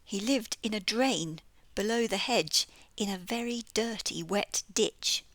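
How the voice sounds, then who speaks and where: A woman's voice: medium pitch, unhurried, no room sound. British woman reading a children's storybook. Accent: British English. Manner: expressive, lilting storytelling. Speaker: audiobook reader.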